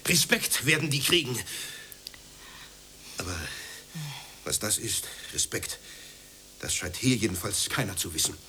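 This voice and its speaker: A grumbling, prudish family man who craves respect in an older radio play. His voice sits fairly low and swings between bitter brooding and indignant ranting. There is slight noise on the recording. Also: big pitch swings, unhurried, pauses often, pushed and bright, heavily acted. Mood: proud, defiant. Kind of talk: German radio play acting.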